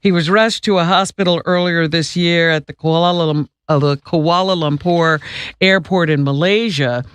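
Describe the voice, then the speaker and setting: A woman's voice: lowish voice, steady tone, moderate pace, smooth, no echo. Lady doing radio news w/ casual banter, kinda wry and emphatic, super dry sound. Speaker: radio news reader and co-host.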